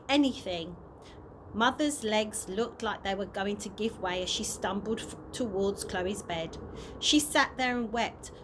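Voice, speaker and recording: A woman reading a children's chapter book aloud as an expressive storyteller. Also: medium pitch. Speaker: early years practitioner reading a story. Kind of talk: children's audiobook read aloud. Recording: noisy amateur home recording.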